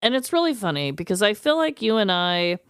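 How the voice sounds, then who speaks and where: Animated, clear-voiced woman chatting on a podcast. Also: medium pitch, fairly quick, dry recording, studio quality. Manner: expressive, exclamatory reactions. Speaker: podcast co-host. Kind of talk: casual chat, reacting and bantering.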